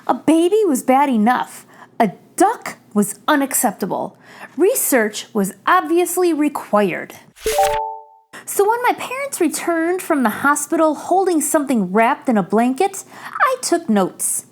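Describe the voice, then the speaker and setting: A woman reads a picture book aloud for children. Her voice is high and she takes her time, phrasing each line deliberately. The intonation swings widely in an animated storytelling style.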